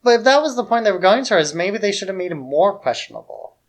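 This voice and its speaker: A man's voice: sits high, sing-song, fast. A man co-hosting a film podcast, giving his take in informal, loosely phrased talk. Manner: informal, tentative phrasing with frequent "like". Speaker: podcast co-host. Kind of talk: casual film discussion.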